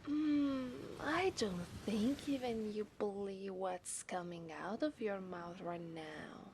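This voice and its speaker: A woman's voice: mid-range voice, darkish tone, slight background noise, close to an everyday voice. A woman with an Italian accent playing an obsessive, seductive mermaid in a teasing ASMR roleplay, sometimes slipping into whispers. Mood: coaxing. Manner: slow, teasing, lapsing into whispers.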